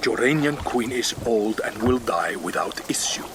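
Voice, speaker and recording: An actor playing a courtly Spanish ambassador with a Spanish accent, insinuating and deliberate, in a period radio drama. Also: male voice, medium pitch, lively intonation, close to an everyday voice. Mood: pressing. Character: smooth, persuasive Spanish duke and ambassador. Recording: noisy water ambience behind.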